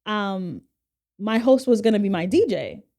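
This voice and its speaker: A woman's voice: medium pitch, measured pace, vocal fry. A woman with a Haitian accent co-hosting a podcast, telling stories in casual chat and quoting others. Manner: storytelling, animated quoting. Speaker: podcast co-host and bride.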